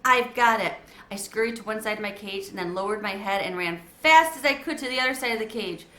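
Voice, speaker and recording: A woman reading a children's book aloud in an expressive storybook manner. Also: medium pitch, fast. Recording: echoey room.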